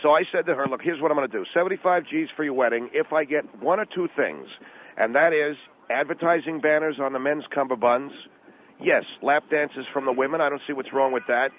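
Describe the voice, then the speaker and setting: A man voicing a brash, defensive middle-aged father arguing on a radio call-in comedy, halting and self-justifying. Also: a little high, natural voice. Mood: exasperated. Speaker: radio show guest. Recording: tinny phone line with noise.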